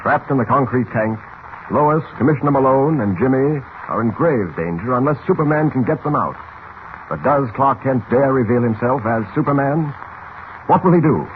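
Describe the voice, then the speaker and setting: A man's voice: medium pitch, animated, moderate pace. A man narrating a 1940s radio serial in an emphatic, declamatory, dramatic style. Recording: tinny old radio transcription, some noise.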